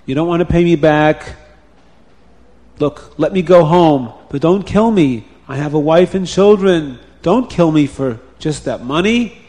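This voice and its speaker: A desperate man held captive in a folk tale as a male narrator acts him out begs urgently for his life. His voice is pushed high and echoes through a long hall. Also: expressive, slow, few pauses, warm tone. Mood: pleading. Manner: urgent, pleading.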